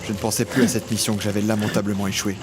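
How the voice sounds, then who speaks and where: A man's voice: on the low side, flat delivery, racing, over music, natural voice. An actor playing a tormented criminal who tells his own story in a solemn, literary way in an audio drama. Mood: grave, tormented. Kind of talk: scripted audio drama narration and dialogue.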